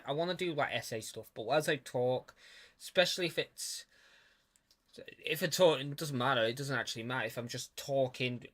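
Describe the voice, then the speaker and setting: Young male student filmmaker; bright, forward tone; halting, stuttery casual commentary. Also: mid-range voice. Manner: stutters, restarts, swears casually. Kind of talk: casual commentary on his own film.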